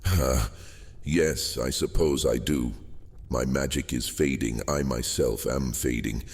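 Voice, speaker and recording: A man playing an old, fading captive djinn in a fantasy audio drama, courteous and wheedling, with silky theatrical delivery and hesitant pauses. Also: very deep voice, a little mellow, breathy edge, exaggerated voice. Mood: pleading. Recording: long cavernous reverb, music underneath. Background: music.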